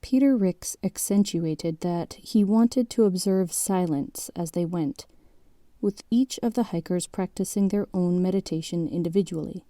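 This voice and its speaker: A woman playing the composed narrator of a grim documentary-style mystery, reading in a measured, matter-of-fact way. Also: lowish voice, dark tone, no room sound, close to an everyday voice. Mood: measured, grave.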